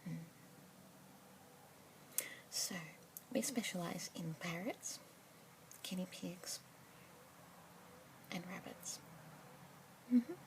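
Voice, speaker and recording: A young woman with an Australian accent whispering softly and breathily as a pet adoption specialist in an ASMR roleplay, with gentle murmured "mm-hmm" fillers. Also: fairly low pitch, drawn-out words, pauses often. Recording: close whisper mic with clear background hiss.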